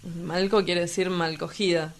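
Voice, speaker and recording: A woman's voice: on the low side, little pitch movement, measured pace. A woman with an Argentine accent playing a crude, cynical young male street poet in a radio drama, blunt and teasing. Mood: casual teasing.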